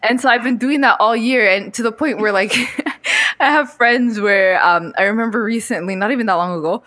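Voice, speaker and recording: A woman in her early twenties hosting a casual podcast chat, telling stories in an animated, slangy way. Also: a little high, normal speed, no echo. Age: early 20s. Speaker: podcast host.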